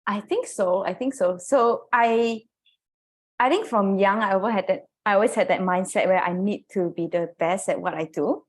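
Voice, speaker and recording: A woman's voice: medium pitch, moderate pace. A woman from Malaysia co-hosting a podcast, candid and joking about herself. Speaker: podcast co-host. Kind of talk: casual podcast conversation.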